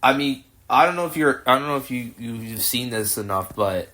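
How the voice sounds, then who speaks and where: A man's voice: mid-range voice, expressive, a little fast, pushed and bright. A man in his twenties hosting a podcast, asking rambling, casual questions full of restarts. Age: 20s. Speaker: podcast host. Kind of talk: casual interview chat.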